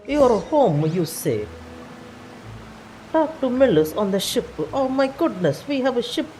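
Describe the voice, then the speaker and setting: A woman's voice: fairly high pitch, big pitch swings, a little slow, warm tone, soft background music. A woman playing a vain male French magician with a put-on French accent while streaming a game in casual, pompous chat. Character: vain, prideful self-styled great French stage magician, male. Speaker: live streamer.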